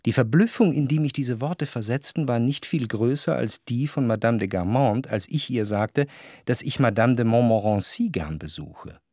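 An actor playing a reflective, wryly observant narrator in a literary radio drama, speaking in a measured, articulate way. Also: male voice, medium pitch, expressive, a little mellow, no room sound, clean studio sound. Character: reflective, observant male narrator of society life. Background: faint music.

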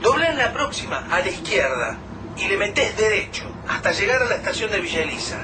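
A man with a Rioplatense accent plays an anxious survivor in a radio drama, talking in quick urgent bursts. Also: high-pitched, sing-song. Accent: Rioplatense Spanish. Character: tense male survivor giving directions. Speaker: Lucas, a survivor. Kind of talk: amateur radio drama acting. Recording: distant mic with background noise.

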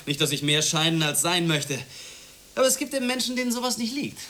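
An actor playing a sardonic, boastful drifter with a wry, domineering manner in a radio play. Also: male voice, a little high, big pitch swings, quick pace, sharp tone. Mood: boastful, deadpan. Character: cocky, sardonic drifter and dealer, domineering toward women. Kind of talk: German radio play acting. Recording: noisy 1980s radio play recording.

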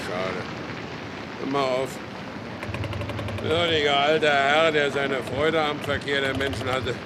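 An actor playing a proud, law-abiding horse dealer in a German radio play, speaking in an emphatic, theatrical way. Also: male voice, medium pitch, slow pace, background noise, natural voice. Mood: wry.

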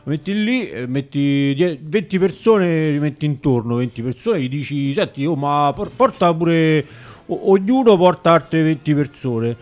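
A man's voice: fairly high pitch, expressive, unhurried. A man playing a half-mad Roman street vagrant in a radio sketch, begging and rambling with stammered, repeated phrases. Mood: rambling, eager. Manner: rambling, repetitive, stammering restarts.

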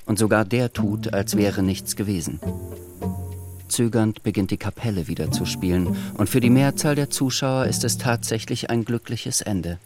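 A man's voice: fairly low pitch, moderate pace, music in the background. A man giving scripted literary narration in a radio drama.

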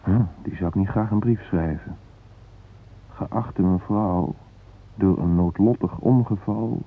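An actor playing a frightened hostage father in a radio play, musing in a halting, wry, vulnerable way. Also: deep voice, husky, heavily acted. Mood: bitter, musing. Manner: reflective, halting, wryly self-mocking. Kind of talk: radio drama interior monologue and dialogue. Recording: muffled phone-like band.

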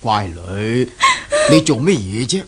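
A man's voice: fairly low pitch, very animated, slow. An actor playing an indulgent, worldly middle-aged father in a Cantonese radio drama, coaxing and chuckling, with a Hong Kong accent. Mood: teasing, concerned. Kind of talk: 1970s radio drama acting. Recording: noisy old 1970s radio recording.

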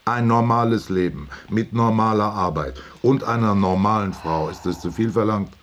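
An actor in a German radio drama playing a proud, surly factory worker husband who talks in terse, defensive bursts. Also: male voice, low voice, unhurried, darkish tone, slight background noise. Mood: irritated, defensive.

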